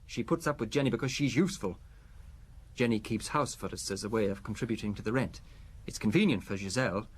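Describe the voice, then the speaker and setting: An actor playing an anxious, fragile husband in an audio drama, halting and nervous yet obsessively insistent. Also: male voice, lowish voice, slight background noise, close to an everyday voice. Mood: earnest, confiding. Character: anxious, fragile, obsessive husband fearing poison, quietly determined. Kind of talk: detective audio drama acting.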